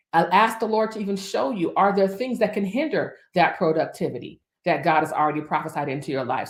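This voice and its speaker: Fifties woman preaching in an echoey room. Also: lowish voice. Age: 50s. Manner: rhythmic preaching with repeated lists; chatty asides to viewers. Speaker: minister. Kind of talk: livestream prophetic decree. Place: office.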